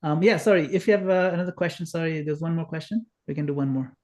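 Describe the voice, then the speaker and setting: A man's voice: fairly high pitch, steady tone, quick pace, darkish tone. A middle-aged man from Kenya giving an earnest, explanatory spiritual talk over a video call, with fillers. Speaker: guest speaker.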